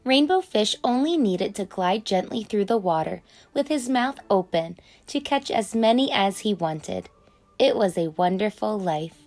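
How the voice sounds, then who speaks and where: A woman reading a children's picture book aloud as an expressive storyteller. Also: medium pitch, unhurried. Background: music.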